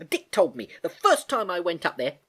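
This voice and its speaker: A narrator voicing a young girl, high and shrill as she cries and protests. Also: huge pitch swings, moderate pace, pushed and bright, breathy edge, full character voice. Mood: angry. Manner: high and shrill when upset. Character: frightened then angry young girl.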